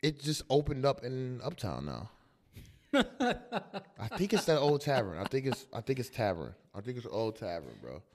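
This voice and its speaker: A young American man with a low voice co-hosting a podcast, chatting casually with a friend in slangy, sweary talk. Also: huge pitch swings, moderate pace, darkish tone. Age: young adult. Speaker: podcast co-host.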